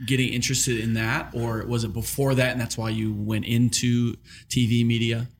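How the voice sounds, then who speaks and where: A man hosting a casual podcast interview, enthusiastic and playful, with quick affirmations. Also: medium pitch, level tone, creaky, breathy edge. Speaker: podcast host.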